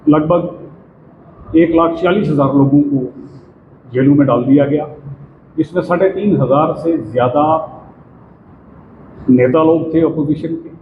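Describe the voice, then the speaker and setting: A man giving an explanatory political statement at a press conference, halting, in short phrases. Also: fairly high pitch. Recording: phone-like sound, echoey reverberant room, noisy.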